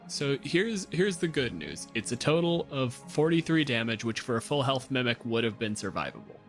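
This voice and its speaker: A man acts as game master on a podcast, talking at a middle pitch. He is casual and swears freely as he narrates the game and rulings, with some music behind him. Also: big pitch swings, normal speed, a bit bright. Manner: profane, casual.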